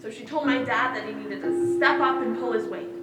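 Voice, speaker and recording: A woman's voice: a little high, brisk, lightly acted. A woman on stage playing a young girl who recalls a troubling day in plain, childlike storytelling. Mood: recounting. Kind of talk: theatrical stage monologue. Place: stage in a hall, one-act play performance. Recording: very echoey, distant stage recording with loud music.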